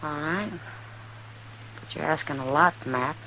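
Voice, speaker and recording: A hissy vintage radio western recording of a worldly, caring frontier woman. Her voice is low with some creak. She speaks in a measured, frank way. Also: bright, forceful tone, heavily acted, rough recording. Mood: concerned, reluctant. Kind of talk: 1950s radio western acting.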